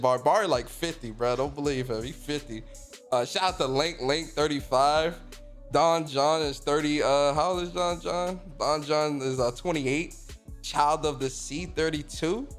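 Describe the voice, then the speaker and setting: A man in his thirties chats on a gaming livestream, reading viewer messages and tossing off profane, laughing shout-outs. His voice sits a little on the high side. Faint music plays under him. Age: 30s. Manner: loose and swearing, laughs often, shout-outs to chat. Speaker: streamer and podcast host. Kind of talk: casual livestream chat reading viewer comments.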